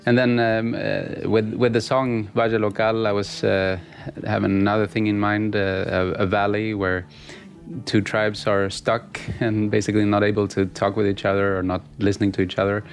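Swedish-native male musician, low and gravelly, halting casual answers. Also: level tone, faint music behind. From Sweden. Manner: halting, many fillers and restarts. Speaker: musician guest. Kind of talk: casual interview.

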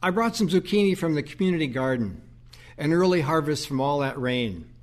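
A man's voice: big pitch swings, fairly quick. A man playing a tired but dutiful priest son in an audio play, mild and trying to stay upbeat. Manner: mild, dutiful, low-energy.

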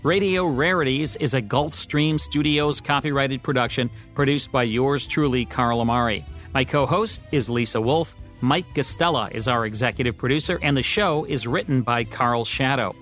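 A man's voice: medium pitch, moderate pace, a bit bright, faint music behind. An American man co-hosting a radio program, reading scripted narration about broadcast history. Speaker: radio show co-host.

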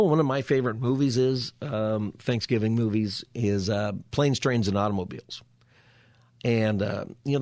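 A hoarse, raspy male radio talk host chatting casually from his studio, rambling with restarts. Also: medium pitch, a little mellow, no room sound. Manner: meandering, lots of fillers and restarts. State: hoarse from a cold. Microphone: studio mic.

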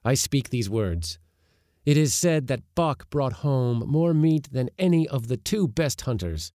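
A man's voice: medium pitch, dark tone, no echo, studio quality, pitched above the performer's own voice, natural voice. A man voicing a proud, defiant boy who declaims formally before a council. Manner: declaiming, formal old-fashioned speech.

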